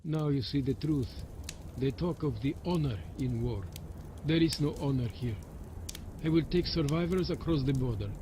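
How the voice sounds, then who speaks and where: An actor playing a grave, disillusioned rebel ally in a video game, earnest and solemn. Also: male voice, on the high side, normal speed, lightly acted. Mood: bitter, earnest. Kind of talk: video game cutscene acting. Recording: clear background noise, birds, outdoor ambience.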